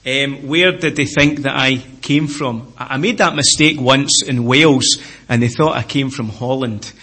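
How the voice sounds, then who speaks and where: A middle-aged man from Scotland with a Scottish accent, preaching a sermon in an earnest way, repeating phrases and slowing down to stress key words. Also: mid-range voice, bright, forceful tone.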